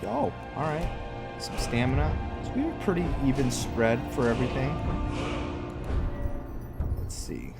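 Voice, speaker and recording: A man's voice: medium pitch, big pitch swings, unhurried, gravelly, pauses often. A man giving casual let's play commentary, thinking aloud in short, musing phrases. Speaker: gamer narrating own roleplay playthrough. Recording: loud music behind the voice.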